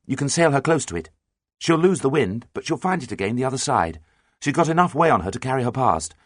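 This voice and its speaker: An earnest boy sailor voiced by a man gives brisk, commanding orders in a storybook reading. The pitch moves around in a lively way, and the sound is close and dry. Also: no echo. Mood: firm. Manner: commanding, calling out nautical orders. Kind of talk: audiobook character dialogue.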